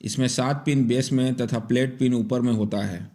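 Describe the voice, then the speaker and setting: A man's voice: medium pitch, fairly even intonation, normal speed, warm tone. A man presenting a repair tutorial in scripted, methodical instructional narration. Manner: methodical, step-by-step explaining.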